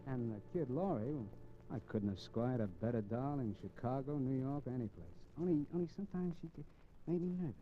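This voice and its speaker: A man's voice: mid-range voice, animated, brisk, slight background noise, lightly acted. An actor playing a cocky, restless drifter crook in a 1950s radio crime drama, with slangy, wisecracking tough-guy talk. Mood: reflective narration. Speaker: radio drama actor, lead and first-person narrator. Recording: muffled vintage 1950s radio recording, faint music.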